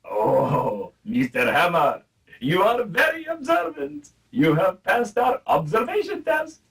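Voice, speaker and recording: A man's voice: high voice, big pitch swings, takes it slowly, vocal fry, fairly bright tone, recorded from a distance, exaggerated voice. A man playing a shifty, flustered doctor in a comic radio play, falsely polite, stammering and evasive.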